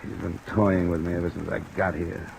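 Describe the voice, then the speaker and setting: A hard-boiled American investigator with a deep voice. He is terse and worn down, in the manner of 1950s radio drama. Clear rain noise runs over the old recording. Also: level tone, a little fast. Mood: bitter, frustrated. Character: tough, weary male insurance investigator narrating his case.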